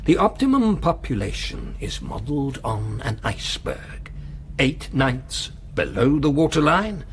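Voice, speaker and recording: An actor playing a coldly authoritarian, urbane and cynical world ruler in a radio drama, with a measured, condescending delivery. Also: male voice, mid-range voice, huge pitch swings, slight background noise. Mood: dry, confiding. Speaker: controller of a dystopian society.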